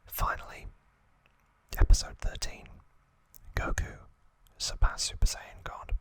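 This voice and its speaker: Whispering man, slow ASMR delivery. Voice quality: breathy, unvoiced whisper. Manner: slow, gentle, deliberate delivery with pauses. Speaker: ASMR channel host. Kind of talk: whispered ASMR recap narration.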